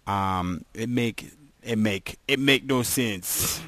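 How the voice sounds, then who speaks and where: A man's voice: fairly low pitch, takes it slowly, bright, forceful tone, no room sound. A man co-hosting a podcast in casual, joking chat. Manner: joking, does silly voices. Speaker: podcast co-host.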